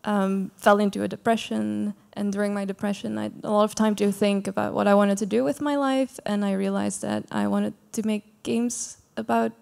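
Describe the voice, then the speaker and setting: A young woman on a live panel, a student, talking hesitantly with many fillers. Her voice has a gravelly edge. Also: mid-range voice, fairly even intonation, normal speed, few pauses, a little mellow. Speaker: game design student panelist. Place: small venue stage before an audience.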